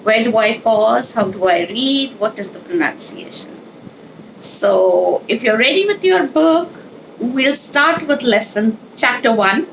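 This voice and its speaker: Higher-pitched woman reading along for children. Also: expressive, measured pace, vocal fry, slight background noise, low-quality recording. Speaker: teacher leading a children's read-along. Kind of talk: instructional talk and storybook read-aloud.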